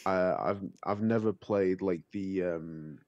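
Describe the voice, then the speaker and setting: A man's voice: deep voice, slow, a little mellow, dry recording, muffled recording. A man on a gaming podcast, hesitant, with many ums and restarts. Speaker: podcast guest. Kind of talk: casual chat.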